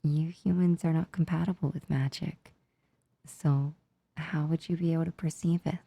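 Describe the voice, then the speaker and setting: A woman voicing a gentle, affectionate demon in an ASMR roleplay, soothing and tender, with a soft, breathy, near-whispered delivery. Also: low voice, steady tone, no room sound. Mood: gentle, reassuring. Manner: slow, soothing, tender, unhurried. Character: gentle, comforting female sleep paralysis demon, affectionate and reassuring.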